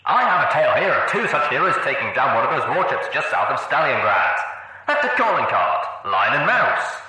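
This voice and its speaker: A man's voice: mid-range voice, sing-song, fairly quick, pushed and bright, voice pushed up. A man voicing a brash, showy rebel pony DJ in an audiobook, with radio announcer patter through a radio effect. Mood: showy, upbeat. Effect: radio filter. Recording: very echoey, slightly distant radio sound.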